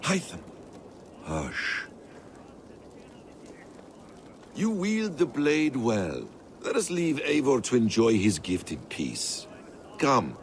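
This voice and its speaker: An actor playing a calm, wise master assassin mentor in a video game, speaking in a formal, measured, instructive way. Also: male voice, medium pitch, slight background noise, exaggerated voice. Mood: commanding. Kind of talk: video game cutscene acting.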